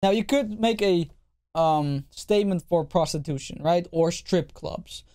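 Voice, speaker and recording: A male streamer reacting to a clip with a dark, mellow tone and blunt, swear-heavy talk. He repeats himself, and his voice sounds worn out. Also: high-pitched, a little slow. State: losing his voice. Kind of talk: casual reaction commentary.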